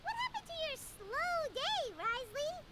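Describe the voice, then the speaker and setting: A woman's voice: very high voice, sing-song, slow, very noisy, exaggerated voice. A woman voicing a small, childlike, curious and cheeky floating companion creature in a video game. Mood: puzzled, cheeky. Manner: refers to self in third person, blunt.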